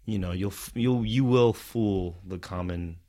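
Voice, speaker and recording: Man, low-pitched, stunt performer, Vietnamese first language; casual, animated film talk with frequent laughs. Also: measured pace. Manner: animated, laughs often, acts out quotes.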